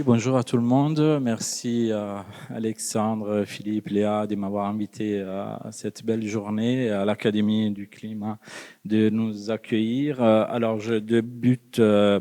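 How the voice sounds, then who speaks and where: A man's voice: lowish voice, mellow. A man with an Italian accent reading a prepared academic talk, careful and hesitant, often correcting himself. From Italy. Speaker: lecturer. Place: conference hall. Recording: long hall reverb.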